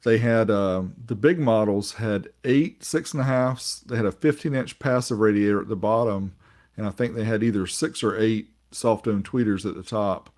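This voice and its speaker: A man's voice: on the low side, normal speed, darkish tone. A man co-hosting a podcast, explaining details in a casual, slightly unsure way. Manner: informative, a bit unsure. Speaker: podcast co-host. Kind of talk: casual chat.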